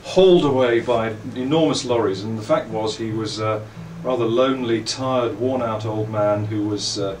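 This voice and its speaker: A middle-aged man with an English accent, giving reflective, articulate interview answers in measured phrases and telling anecdotes as a company owner and designer. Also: fairly low pitch, over music. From England. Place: indoors at a trade show. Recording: roomy, distant mic, faint background noise.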